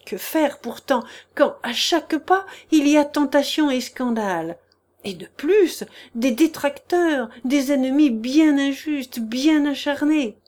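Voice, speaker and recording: A woman's voice: fairly high pitch, unhurried, darkish tone, close to an everyday voice. A woman reading the part of a male swindler who pleads, wheedles and begs for mercy in a classic novel. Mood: pleading. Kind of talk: audiobook character reading.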